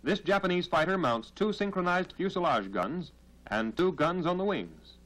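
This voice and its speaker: An American man narrating a 1940s military training film in a formal, measured, instructional manner, on a period film soundtrack. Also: sits high, lively intonation, a bit bright, poor audio.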